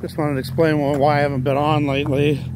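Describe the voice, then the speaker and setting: A hoarse man talking casually while walking outdoors on a residential street, a little out of breath, rambling in a plain way and now and then coughing or sighing. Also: a little high, little pitch movement, quick pace, background noise. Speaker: vlogger. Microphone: handheld phone while walking.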